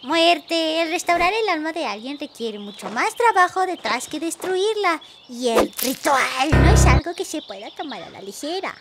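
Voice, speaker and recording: A very high, bubbly Life spirit. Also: female voice, animated, normal speed, hardly any pauses, over loud music. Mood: earnest. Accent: Latin American Spanish. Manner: gushing, cheerful, exclamatory. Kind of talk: animated cartoon dub. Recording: loud background noise.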